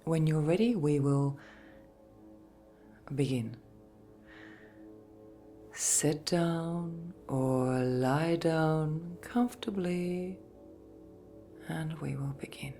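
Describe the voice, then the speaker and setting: A woman gently narrating a guided sleep meditation in a soft, breathy, soothing voice, with measured phrases and long pauses. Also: sits low. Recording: voice over background music. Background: meditation music.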